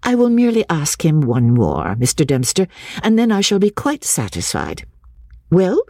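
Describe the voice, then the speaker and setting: Brisk young gentlewoman performed by a narrator, imperious and scornful, raised pitch, swooping delivery, storybook dialogue. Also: huge pitch swings, vocal fry, no echo, clean studio sound. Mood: insistent. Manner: emphatic, scornful questioning. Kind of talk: audiobook character dialogue.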